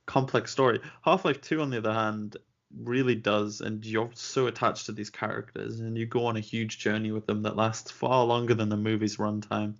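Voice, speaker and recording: A man with a low voice talks casually and earnestly, laying out his opinions at length. The sound is dull and lo-fi, like a cheap home recording. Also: level tone, moderate pace. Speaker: podcast co-host. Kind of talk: casual chat.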